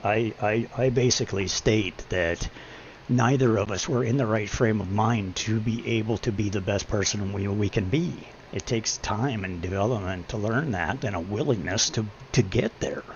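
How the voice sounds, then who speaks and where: A middle-aged man with a deep voice chatting on his stream, rambling and preachy, hammering his points home. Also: moderate pace, slight background noise. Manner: preachy, rambling, emphatic when making a point. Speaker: livestreamer. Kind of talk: casual chat while gaming on stream. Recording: dull lo-fi stream audio.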